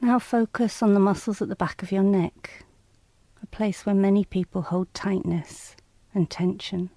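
A woman reading scripted guided relaxation instructions in a calm, measured, soothing and slightly clinical manner. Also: medium pitch, no echo.

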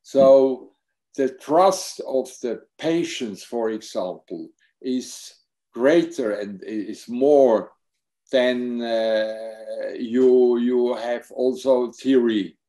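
A man in his sixties with a German accent, speaking haltingly on a video call and searching for English words. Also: mid-range voice, warm tone. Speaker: psychotherapist and film subject. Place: home room with bookshelves. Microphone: laptop webcam mic on video call.

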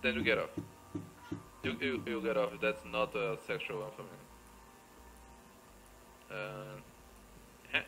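A man's voice: lowish voice, slow, creaky, pauses often, bright, forceful tone, rough recording. A man giving casual, off-the-cuff game commentary, muttering playfully to himself, repeating phrases and exclaiming as he plays. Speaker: gamer recording a let's play.

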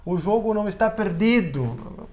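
A man's voice: sits high, animated, moderate pace, a little mellow, pitched above the performer's own voice. A man voicing a blunt male band manager with a Mexican-tinged accent, scolding the band into action. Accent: Mexican-tinged Portuguese. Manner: scolding, pep-talk delivery.

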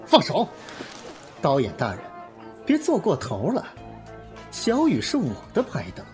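A man acting a haughty, demanding young celebrity in an audio drama, sharp-tongued and imperious. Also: on the high side, huge pitch swings, a little slow, soft background music. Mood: angry warning. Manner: imperious, scoffing.

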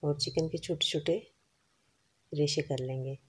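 Low, husky-voiced woman teaching a recipe. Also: unhurried. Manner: calm, instructive, lists ingredients and quantities. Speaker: cooking channel host. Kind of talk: step-by-step recipe voice-over.

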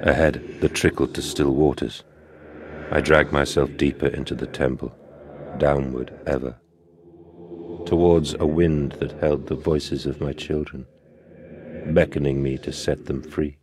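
Intense, tormented gentleman. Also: a bass voice, level tone, measured pace. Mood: haunted, hushed. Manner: theatrical, intense. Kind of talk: dramatic video game acting. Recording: noisy ambience behind the voice.

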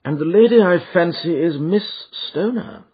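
A man voicing a shrewd, commanding Victorian gentleman detective in an audiobook, speaking in incisive, precise phrases. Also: high-pitched, expressive, moderate pace, breathy edge, voice pushed up, full character voice. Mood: brisk. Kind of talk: audiobook character dialogue. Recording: muffled, thin phone-like audio.

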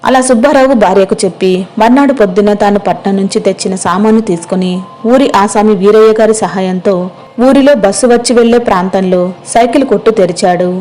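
Female narrator for moral tales, mid-pitched, scripted storytelling. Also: fast, mellow.

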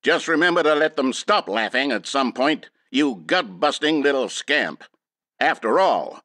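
Bright chipper training-video announcer. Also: male voice, fairly high pitch, no room sound. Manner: upbeat, matter-of-fact, absurdly earnest. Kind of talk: scripted instructional narration. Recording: dry close studio sound.

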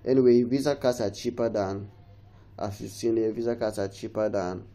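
A man's voice: lowish voice, steady tone, moderate pace, warm tone. A man with a cold giving a casual, rambling phone tutorial, often restarting his sentences and urging viewers along. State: has a cold. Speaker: tech tutorial host. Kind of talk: casual screen-recorded tutorial.

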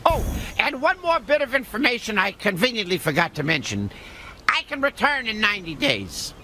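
A man's voice: sits high, sing-song, normal speed, bright, forceful tone, slight background noise, full character voice. A man voicing a smug, mischievous little imp from another dimension in a cartoon video game, gleefully taunting and theatrical. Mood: smug, teasing.

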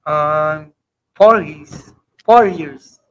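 A man's voice: a little high, extremely slow, low-quality recording. A young Filipino man chatting casually with strangers over a headset, answering in short hesitant bursts and repeating himself. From Philippines. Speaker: video chat host. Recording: background music under voice.

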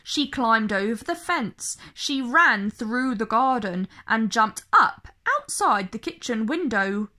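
A woman reading a children's story aloud in an expressive way, phrasing each line clearly and deliberately for young listeners. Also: fairly high pitch. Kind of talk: slow, expressive picture-book read-aloud.

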